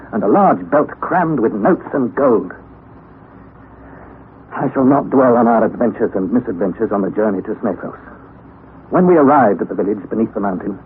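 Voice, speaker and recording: A young man narrating his adventure in first person for a 1960s radio drama, measured and careful. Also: medium pitch. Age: young adult. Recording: tinny old radio broadcast with hiss.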